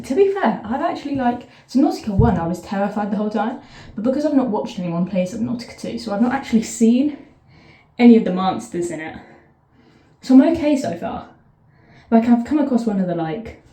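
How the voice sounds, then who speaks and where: A woman's voice: medium pitch, warm tone. Chatty southern English woman in an echoey bathroom. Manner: rambling, chatty, self-interrupting asides. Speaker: vlogger. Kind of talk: casual chat to camera. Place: sitting in a bath, bathroom.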